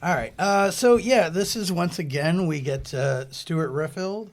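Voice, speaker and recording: A man's voice: a little high, expressive, measured pace. A man co-hosting a movie podcast while getting over a cold, rambling a little with fillers. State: congested from a cold. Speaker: podcast co-host. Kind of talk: casual podcast banter.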